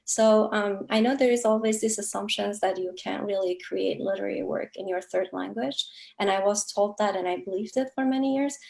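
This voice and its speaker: A woman whose first language is Kurdish, an author answering interview questions in a reflective, earnest way with frequent pauses and fillers. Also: medium pitch, creaky, darkish tone. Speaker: author guest.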